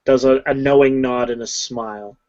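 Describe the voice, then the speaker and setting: A woman casually narrating a tabletop game as game master, halting with fillers. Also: a bass voice, steady tone, darkish tone. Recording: dull lo-fi home mic.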